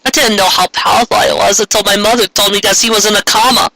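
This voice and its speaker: Performer acting earnest teenage heroine, remorseful, very sharp bright tone, dramatic fan fiction. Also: mid-range voice, fairly even intonation, fast, vocal fry, no room sound, low-quality recording.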